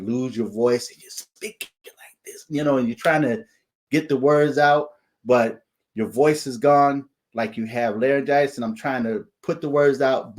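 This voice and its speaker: A man, hosting his channel and recounting a dream in a slow preaching monologue, often pausing to ask "okay?". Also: mid-range voice, darkish tone. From United States. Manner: deliberate, repeats "okay?" as a check-in. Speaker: channel host sharing dreams and visions.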